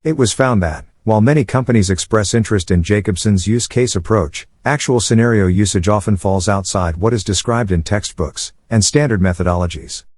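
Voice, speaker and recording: A man reading formal academic passages aloud in a careful, measured manner, as in exam practice. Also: lowish voice, darkish tone, no room sound.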